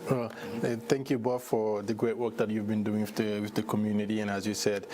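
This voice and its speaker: A man hosting a conversational community interview, putting questions in a halting way with repeated words. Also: fairly low pitch, flat delivery. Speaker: show host interviewing guests. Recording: clearly noisy background.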